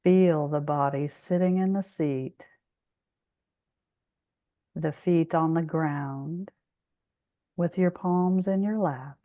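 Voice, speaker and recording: Female meditation guide, soothing and very unhurried, mellow tone, close dry sound. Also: low-pitched, dry recording. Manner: soothing, measured, with long pauses.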